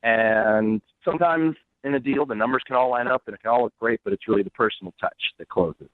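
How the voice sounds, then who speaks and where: A man's voice: medium pitch, fast, dry recording. A man speaking bluntly and smugly over the phone as a guest in an unscripted radio call, unapologetic in his answers. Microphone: telephone. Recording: band-limited phone line.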